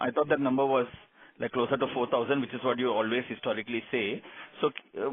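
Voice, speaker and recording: A man with an Indian accent, a financial analyst politely asking questions on an earnings call, with informal fillers. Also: mid-range voice. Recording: narrow phone conference line.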